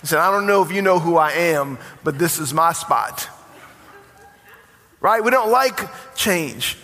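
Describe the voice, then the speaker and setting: A forty-year-old man preaching in a high, bright voice, his words building in emphasis and calling out to the congregation. His sermon rings through a church sanctuary with a long hall reverb trailing behind it. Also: lively intonation, measured pace, slight background noise. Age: 40s. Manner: preaching with rising emphasis and call-and-response. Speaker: pastor.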